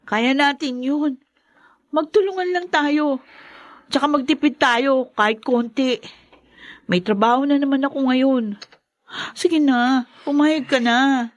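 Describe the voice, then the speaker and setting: A woman's voice: high-pitched, takes it slowly, pauses often, dry recording. Earnest young Filipino mother, dry sound. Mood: pleading. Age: young adult. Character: earnest, patient, hopeful young working mother. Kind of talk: radio drama acting.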